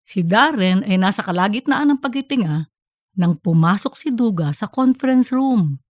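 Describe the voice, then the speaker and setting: A woman's voice: medium pitch, animated, moderate pace, dry recording, clean studio sound. A woman reading scripted narration for a comedic audiobook story.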